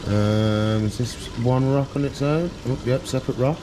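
A man's voice: medium pitch, moderate pace, background noise. A man in his thirties chatting casually and informally as he explains what he's doing in an unscripted vlog. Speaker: pet shop vlogger. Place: indoor aquarium shop.

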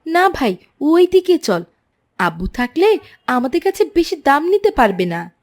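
A polite, keen cartoon schoolboy, played by a woman, with a high voice that moves up and down animatedly. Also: very animated, unhurried, full character voice. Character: polite, keen young schoolboy who saves his pocket money. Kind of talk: children's moral cartoon dubbing.

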